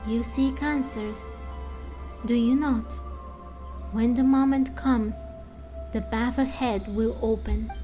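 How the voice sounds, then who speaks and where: Woman as a slow, solemn, cryptic oracle. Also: on the high side, fairly even intonation, dark tone, faint music behind. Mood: calm, ominous. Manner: slow, portentous, drawn-out pronouncements. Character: solemn cryptic female oracle, serene and fanatical.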